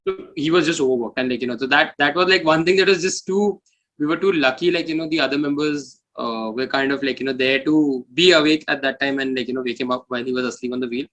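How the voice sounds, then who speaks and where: Mid-pitched man, informal talker. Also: fast talker, a bit bright, poor audio. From India. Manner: informal, repeats phrases for emphasis. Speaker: metal musician, interview guest. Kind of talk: casual chat.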